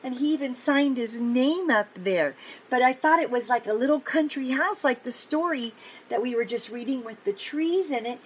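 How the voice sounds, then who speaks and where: An older woman reading a picture book aloud to young children, gently and encouragingly, with chatty asides and questions to the listener. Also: sits high. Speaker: children's story reader and host. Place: indoor home office. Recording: clear background noise, room ambience.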